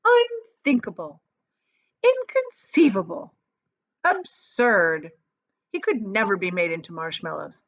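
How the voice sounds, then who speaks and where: A woman's voice: medium pitch, very animated, slow pace, long pauses, dry recording, lo-fi sound, full character voice. A woman reading a storybook and voicing an eccentric male chocolate maker, airily dismissive, theatrical and flippantly soothing.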